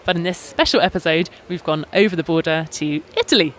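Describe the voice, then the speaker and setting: A British man hosting a podcast outdoors, talking in a measured, articulate way with small hesitations. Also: on the high side, lively intonation, fairly bright tone, a little hiss or hum, low-quality recording. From Britain. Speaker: podcast host and journalist. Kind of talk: casual podcast talk. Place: outdoors in a busy town square.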